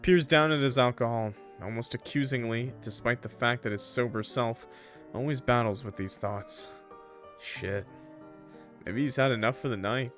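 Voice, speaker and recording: A man's voice: medium pitch, normal speed, fairly bright tone, soft background music. A man reading a visual novel aloud as a streamer, mixing narration with informal, sweary, joking asides to viewers. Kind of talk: let's-play narration mixed with casual chat to viewers.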